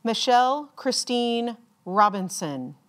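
A woman with a slightly high voice serves as a formal ceremony announcer. She reads names extremely slowly, enunciating each one deliberately and leaving measured pauses. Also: lively intonation. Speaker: commencement announcer reading graduates' names.

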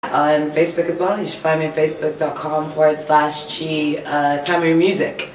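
A woman's voice: deep voice, level tone, moderate pace. A woman, a guest musician, chatting casually in an interview with hesitant, filler-strewn phrasing. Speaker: guest singer-songwriter. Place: small radio studio. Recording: roomy, distant, faint background noise.

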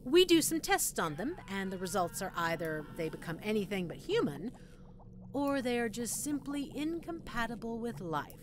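A woman's voice: a little high, sing-song, moderate pace, noisy background. A woman playing a sadistic, gleeful mad scientist in an audio roleplay, teasing her captive listener with sweet condescension. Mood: gleeful, proud.

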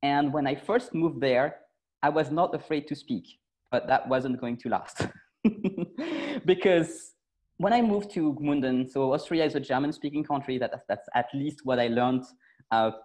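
A man in his thirties from France, a French native, chatting easily as a guest on a video call from a bright room at home. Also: on the high side, measured pace. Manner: relaxed, talks with his hands. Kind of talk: casual interview chat.

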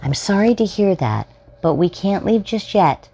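A woman's voice: medium pitch, sing-song, moderate pace, dull audio, noisy background, pitched above the performer's own voice. A woman voicing a kind, patient mother soothing a small child in a storybook. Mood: gentle, patient.

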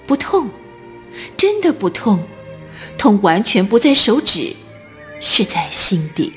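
Casting: female drama narrator, expressive and deliberate, lively intonation, medium pitch. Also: faint music behind. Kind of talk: scripted dramatic storytelling narration.

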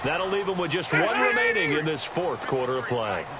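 A man's voice: on the high side, animated, moderate pace, natural voice. A man playing an American TV football play-by-play announcer in a video game. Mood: steady, informative. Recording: loud stadium music and noise behind.

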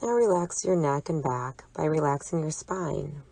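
Female meditation guide, low register, gently instructive and deliberate, in a dry, echo-free recording. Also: animated, gravelly, husky. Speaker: psychologist leading a relaxation exercise. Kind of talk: guided imagery meditation narration.

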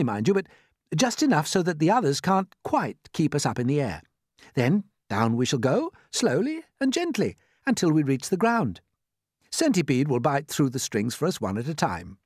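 A man's voice: fairly high pitch, wildly swooping pitch, moderate pace, a little mellow, no room sound, studio quality, pitched above the performer's own voice. A man voicing an eager, confident young boy in a storybook, explaining things keenly. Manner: keen, reassuring explaining.

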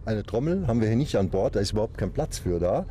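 A man's voice: fairly low pitch, very animated, fast, dark tone, a little hiss or hum. A man giving explanatory interview answers as an expert, recorded aboard a boat.

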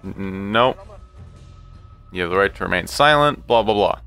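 Bright-voiced man doing game commentary. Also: fairly low pitch, moderate pace, gravelly, clear, resonant tone, no room sound, soft background music. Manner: excitable, profane, talks to himself and the game. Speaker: gaming channel host. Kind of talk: casual let's play commentary.

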